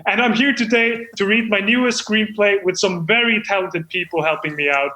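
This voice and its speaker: A man's voice: sits high, moderate pace, sharp tone. A man playing a deluded, eager amateur screenwriter who hosts a comedy show in an effusive, self-important manner. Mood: effusive, polite. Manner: effusive, self-important, stumbling when off script. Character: deluded, eager amateur screenwriter hosting his own reading. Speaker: host. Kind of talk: comedic monologue and mock talk-show hosting. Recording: roomy echo.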